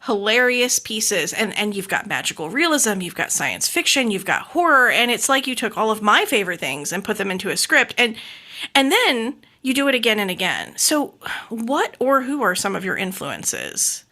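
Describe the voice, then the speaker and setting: A woman's voice: medium pitch, big pitch swings, sharp tone. An American woman hosting a podcast, enthusiastic and effusive, moving between scripted introductions and casual interview chat. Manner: enthusiastic, effusive, quick to laugh. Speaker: podcast host.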